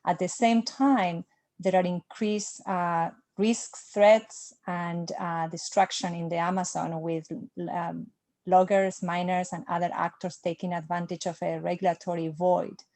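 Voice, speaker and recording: A woman moderating an online panel, asking long, measured questions over a video call. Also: fairly low pitch, flat delivery, a little mellow.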